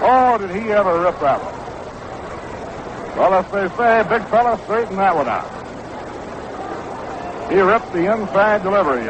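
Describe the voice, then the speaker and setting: A high-pitched American man gives live baseball play-by-play. The sound is an old 1960s AM radio broadcast from a stadium booth, with clear noise behind him. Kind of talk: live radio sports commentary.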